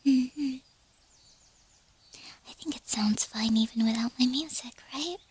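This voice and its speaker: A woman speaking in a soft, breathy, slightly hoarse whisper, chatting gently to listeners in an ASMR roleplay style. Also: fairly high pitch. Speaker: streamer performing as a flower fairy character.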